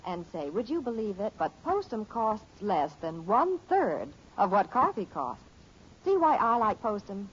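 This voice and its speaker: An American woman playing a cheerful, gently teasing housewife and mother in 1950s radio comedy. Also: mid-range voice, very animated, normal speed, lightly acted. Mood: cheerful, persuasive. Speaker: actress in a radio sitcom. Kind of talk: 1950s radio sitcom acting. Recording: dull old radio recording, faint noise.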